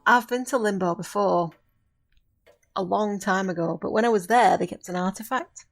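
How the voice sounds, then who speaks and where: Measured, earnest witch mentor, played by a woman. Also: mid-range voice, creaky. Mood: solemn, explaining. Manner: measured, instructive, formal phrasing. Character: earnest witch mentoring a novice, grieving but insistent. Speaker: voice actor in an amateur audio drama. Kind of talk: audio drama acting.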